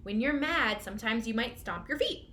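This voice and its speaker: A woman's voice: a little high, lively intonation, normal speed, pushed and bright. A woman hosting a children's storytime as a librarian, giving simple, encouraging instructions to young children. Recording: clearly noisy room recording.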